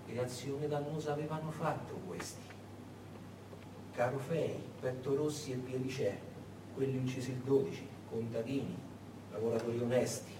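A man performing a dramatic theatrical monologue, emphatic and deliberate, repeating words and leaving heavy pauses. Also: mid-range voice, steady tone. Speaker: solo stage storyteller. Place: echoey performance space, far from the mic. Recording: echoey, noisy, distant stage recording.

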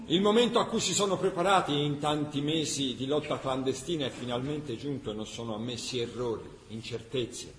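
An actor playing a grave, formal chronicler narrating wartime resistance. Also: male voice, medium pitch, fairly quick, few pauses, natural voice. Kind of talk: scripted theatrical chronicle narration. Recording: echoey room, faint background noise.

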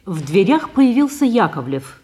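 A woman's voice: mid-range voice, very animated, normal speed, warm tone. A woman narrating stage directions for a recorded play in a scripted style.

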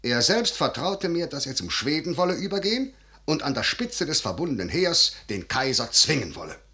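A man's voice: on the high side, big pitch swings, normal speed, bright, forceful tone, full character voice. An actor playing a grave, calculating older father and general in a classical radio verse drama, with solemn, emphatic declamation. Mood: earnest, persuading.

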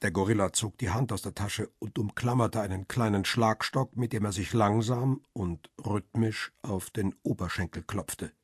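An actor playing a wary middle-aged paparazzo who tells his own story in a radio crime drama, husky and terse. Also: on the low side, moderate pace, few pauses, no room sound, clean studio sound. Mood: guarded. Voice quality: husky, rough. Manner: reflective, terse first-person narration.

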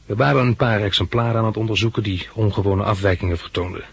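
A man's voice: lowish voice, quick pace, vocal fry, husky, dry recording. An actor in a 1960s radio drama playing a dry, sardonic man who narrates and speaks as a patient. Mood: reflective narration. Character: bandaged male hospital patient and narrator, wry, sardonic.

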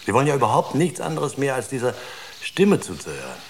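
A man's voice: mid-range voice, wildly swooping pitch, fast. An actor in a German radio drama playing a worried, insistent spaceship crewman. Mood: grave, explaining. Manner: earnest, insistent. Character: worried, urgent male spaceship crewman arguing with colleagues. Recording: clearly noisy ambience behind the voice.

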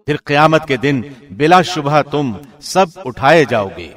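A man reading a scripture translation in a formal, measured and solemn radio presenter's style. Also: on the high side, expressive, a bit bright. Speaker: translation reader, radio host.

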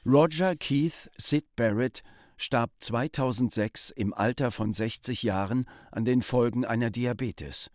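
A man's voice: medium pitch, a little slow, a little mellow, natural voice. A man reading scripted documentary narration in a factual style.

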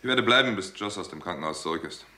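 An actor playing a sober, self-possessed doctor with a terse delivery in a 1960s German radio crime drama. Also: male voice, deep voice, expressive, fast talker, bright, forceful tone, heavily acted. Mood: matter-of-fact. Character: sober, self-possessed male doctor caught in murder case.

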